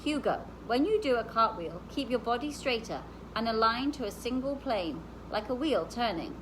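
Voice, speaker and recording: Woman reading as an easygoing farmhand man, animated. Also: a little high, moderate pace, noisy background, close to an everyday voice. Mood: instructing. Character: easygoing, folksy adult male farmhand giving instructions.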